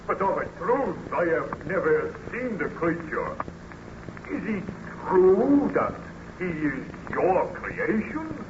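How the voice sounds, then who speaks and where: Dutiful officer, slightly high voice. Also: male voice, sing-song, slow, full character voice. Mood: probing, incredulous. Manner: formal, stagy delivery. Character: dutiful, deferential male officer leading a search party. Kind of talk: old-time radio drama acting. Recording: dull, noisy old radio recording.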